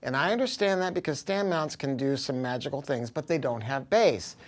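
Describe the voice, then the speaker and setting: An American man giving an informal, off-the-cuff product pitch as a dealer, talking in an emphatic, slangy, salty way. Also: animated, normal speed. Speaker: audio dealer presenting a product. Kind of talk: informal product review, off-the-cuff sales talk.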